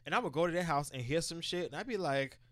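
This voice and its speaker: Young man, bright voice, fairly high, laughs often, casual podcast talk. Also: expressive, fast, no echo. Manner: laughs often, thoughtful, interjects. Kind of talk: casual chat.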